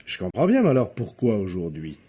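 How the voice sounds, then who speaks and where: An actor playing a formal, sceptical examining magistrate in a radio mystery, questioning with authority. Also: male voice, on the low side, wildly swooping pitch, a little fast, mellow, breathy edge, heavily acted. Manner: formal, interrogating, clipped. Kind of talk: French radio mystery drama acting. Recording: dull, muffled old radio recording.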